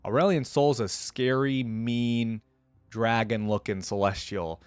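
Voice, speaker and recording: A man's voice: medium pitch, takes it slowly, clear, resonant tone, no room sound. A man hosting a reaction video, giving casual, chatty commentary on a video game and thinking aloud in an easygoing way.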